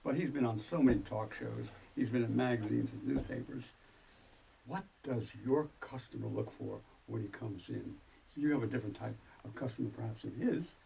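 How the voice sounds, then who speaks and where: A man hosting a radio interview, asking halting questions and giving frequent backchannel replies. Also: mid-range voice, vocal fry, mellow, distant mic, slight background noise, rough recording. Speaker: radio host.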